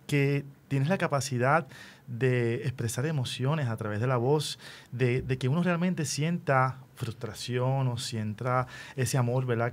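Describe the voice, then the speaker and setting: A man conducting a conversational radio interview, speaking in an encouraging, explanatory manner. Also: medium pitch.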